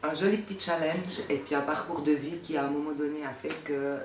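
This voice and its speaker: A man's voice: a little high, steady tone, brisk. A man answering informally in a testimonial interview. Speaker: nurse, volunteer. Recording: roomy, distant mic, faint noise.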